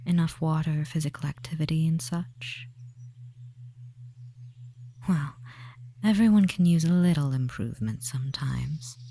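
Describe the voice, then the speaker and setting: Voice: mid-range voice, measured pace, long pauses, mellow, slight background noise, close to an everyday voice. A performer voicing a tender, devious male forest witch in an ASMR roleplay, whispering breathily and fussing soothingly over the listener with endearments. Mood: tender, doting.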